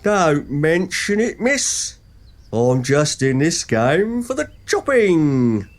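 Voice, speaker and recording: A man's voice: fairly high pitch, sing-song, slow pace. A man playing a boastful, pompous axeman hero in an audio pantomime, with music behind him. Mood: gallant. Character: boastful heroic axeman, male, self-styled merciless.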